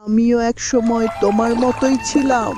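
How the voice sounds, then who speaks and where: A woman playing a vengeful, bloodthirsty river witch ghost in a horror cartoon, with a drawn-out, menacing delivery. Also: fairly high pitch, steady tone, lightly acted. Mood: sorrowful, relenting. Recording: music behind the voice.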